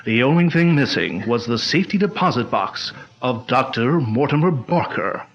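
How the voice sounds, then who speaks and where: An actor playing a firm, authoritative crime-fighting hero in a 1940s-style radio drama. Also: male voice, mid-range voice, very animated, normal speed, highs cut off. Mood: calm. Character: stern, commanding male crime-fighting hero.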